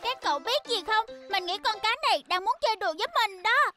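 An actor voicing a small, cheerful young fox chef in a children's cartoon dub, eager and excitable. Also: very high voice, fast talker, music in the background. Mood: curious. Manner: excitable, exclamatory.

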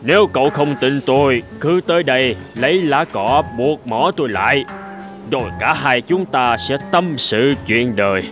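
A man's voice: mid-range voice, lively intonation, normal speed, over music, natural voice. A man voicing an old, ailing, remorseful male sea hawk in a cartoon fable, weary and plaintive. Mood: humble, remorseful. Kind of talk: animated fable voice acting.

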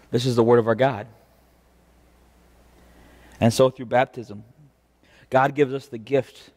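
Young American pastor in a reverberant church. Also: male voice, mid-range voice, darkish tone. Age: young adult. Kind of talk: devotional preaching with scripture reading. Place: indoor church chancel. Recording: reverberant church, mic at a distance.

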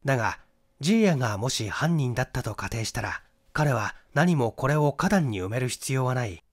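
A man's voice: mid-range voice, animated, normal speed, natural voice. Methodical young detective, read by a man. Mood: assured. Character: young male amateur detective, methodical and assured.

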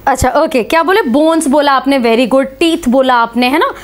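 A high-pitched woman in her thirties gives an online biology lecture in a small studio. Her voice is a touch hoarse from a sore throat, and she keeps throwing questions at her students and nudging them to answer. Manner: animated, asks students questions, calls them beta. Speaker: biology teacher. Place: small indoor studio before a slide board.

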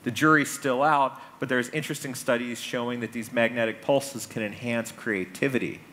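Bright, forceful American man on stage. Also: mid-range voice, fairly even intonation, moderate pace. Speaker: conference speaker. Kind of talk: public talk on stage. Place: large conference stage indoors. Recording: stage mic with clear background noise.

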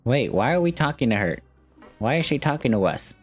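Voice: medium pitch, very animated, a little fast, breathy edge, faint music behind. A young adult streamer giving casual gameplay commentary and reading game text aloud.

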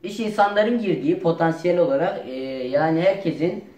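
Teenage male, deadpan, casual skit banter, echoey home room, faint noise. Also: sits high, a little fast, recorded from a distance. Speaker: performer in a family comedy skit. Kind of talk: casual improvised comedy banter. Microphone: camera a few metres away. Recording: roomy echo with faint noise.

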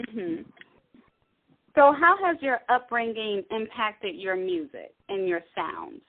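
Woman host of a phone interview, high-pitched and upbeat, short questions, lo-fi phone sound. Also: big pitch swings, takes it slowly, vocal fry. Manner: upbeat, brief questions and backchannels. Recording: tinny phone line.

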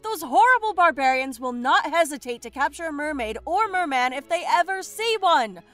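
High-voiced stern mermaid queen, acted by a woman. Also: animated, moderate pace, a bit bright. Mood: angry scolding. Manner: commanding, reproachful.

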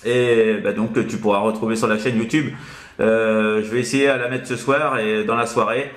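A man's voice: fairly low pitch, fairly even intonation, moderate pace. Man, amateur radio operator, casual contact chat, hesitant, frequent tag words, echoey room. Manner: hesitant, tags sentences with "hein". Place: indoors in an apartment, at a radio set.

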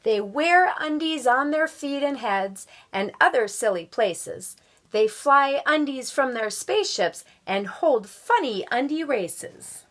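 A middle-aged woman reading a children's picture book aloud at home with expressive, drawn-out storytelling delivery. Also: high-pitched. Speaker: volunteer guest story reader. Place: small room at home.

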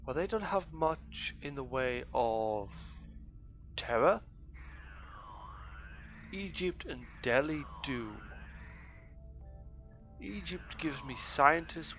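A hoarse man, casually commentating a strategy game in a rambling, mock-grand way. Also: medium pitch, expressive, takes it slowly, long pauses, highs cut off, soft background music. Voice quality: rambling, self-correcting, mock-grand phrasing. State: hoarse, losing his voice. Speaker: let's play gamer.

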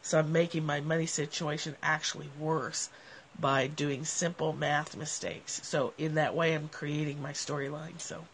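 Middle-aged woman, nasal with a cold, casual animated livestream host on a porch, phone mic. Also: low-pitched, normal speed, a little hiss or hum, poor audio. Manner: animated, gestures a lot while talking. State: has a cold. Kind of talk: casual reflective talk to viewers. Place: covered outdoor porch. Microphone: phone at arm's length.

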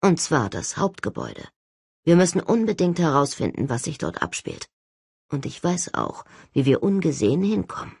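Audiobook role: stubborn young male ghost-hunter chief acted out by a narrator, decisive, husky, lively delivery. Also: medium pitch, normal speed, no echo, studio quality, exaggerated voice. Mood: determined, urgent. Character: daring, stubborn young male ghost-hunting team leader.

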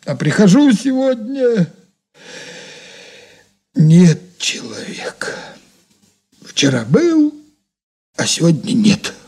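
An actor playing a humble, folksy shop servant who rambles deferentially in a radio drama. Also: male voice, high voice, darkish tone, husky, full character voice. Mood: mournful, musing. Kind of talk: 1980s Soviet radio drama acting.

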